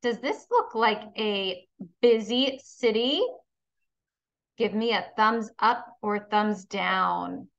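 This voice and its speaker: A woman's voice: medium pitch, slow, creaky, muffled recording. A woman teaching kindergarten in a read-aloud lesson, encouraging and instructional as she addresses young pupils.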